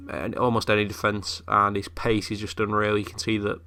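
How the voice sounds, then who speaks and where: A man's voice: on the low side, completely flat delivery, brisk, bright, forceful tone. A young man who is ill, chatting casually through game commentary with lots of fillers. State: ill, voice a bit off. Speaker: gaming YouTuber.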